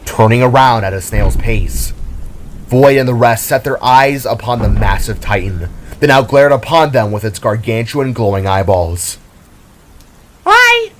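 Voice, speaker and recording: A man's voice: on the low side, moderate pace, slight background noise. A man narrating a scripted fantasy adventure story in a dramatic style. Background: music.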